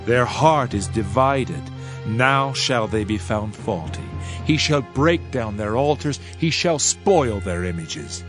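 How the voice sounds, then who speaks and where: A man's voice: mid-range voice, expressive, slow, a bit bright. A man playing a solemn Old Testament prophet in a dramatized Bible reading, declaiming judgment in a grave, formal manner. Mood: solemn, accusing. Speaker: scripture narrator. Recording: music under the voice. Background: music.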